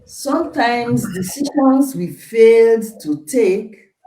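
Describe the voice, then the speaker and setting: Woman hosting a live talk, talks super slow, kinda far from the mic in a roomy space. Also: fairly high pitch, lively intonation, warm tone. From Nigeria. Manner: slow, deliberate, formal welcomes. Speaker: live show host.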